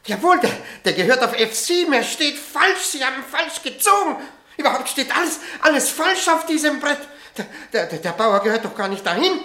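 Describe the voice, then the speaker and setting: A manic chess doctor in a radio play, jumpy repetitive talk, pitch goes all over. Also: male voice, big pitch swings, fairly quick, few pauses, pushed and bright, exaggerated voice. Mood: frantic, confused. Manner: agitated, stammering, repeating words. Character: highly strung, impatient male doctor gripped by chess mania. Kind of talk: radio drama acting.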